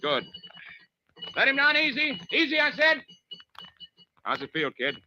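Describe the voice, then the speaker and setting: Bright, forceful navy diving officer. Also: male voice, very high voice, wildly swooping pitch, unhurried, heavily acted. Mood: calm, coaching, then brisk, commanding. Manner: terse orders, firm and reassuring. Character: steady, commanding navy diving officer coaching a young diver. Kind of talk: old-time radio drama acting. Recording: noisy old radio drama recording.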